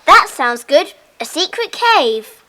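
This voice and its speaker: A woman playing an eager, excitable schoolgirl in a children's audio drama. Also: high-pitched, slow pace, very noisy, full character voice. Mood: excited. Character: eager, excitable young schoolgirl club member.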